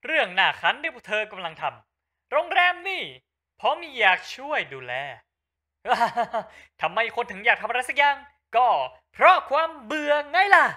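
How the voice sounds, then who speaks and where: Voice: mid-range voice, pushed and bright. A voice actor playing a bored, jaded man with a theatrical, world-weary way of speaking in a drama demo. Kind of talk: character voice-acting demo.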